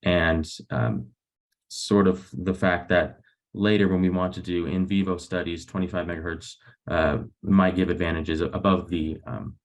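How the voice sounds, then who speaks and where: Young male researcher, very low gravelly register, explaining technical slides on a webinar. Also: fairly even intonation, measured pace. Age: young adult. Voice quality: low, creaky voice. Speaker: graduate student researcher presenting. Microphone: video call.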